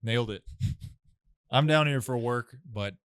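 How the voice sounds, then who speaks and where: Deep-voiced man, relaxed podcast chat. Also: slow, smooth, no echo, studio quality. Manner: relaxed, rambling storytelling with many fillers. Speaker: podcast co-host. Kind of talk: casual chat.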